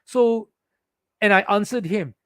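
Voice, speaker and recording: A man's voice: high-pitched, slow pace, clear, resonant tone, no room sound. A man from the Philippines who speaks with a Singaporean English accent, ranting indignantly to his viewers and often restarting his sentences. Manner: indignant, heated, with frequent restarts. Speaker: vlogger. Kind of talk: ranting monologue to camera.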